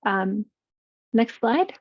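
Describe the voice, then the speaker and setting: A woman who manages a seniors network, presenting her program in a webinar with lively energy and some restarts. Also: on the high side, very slow, no echo, dull audio. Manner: energetic, talks through slides with restarts. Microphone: video call.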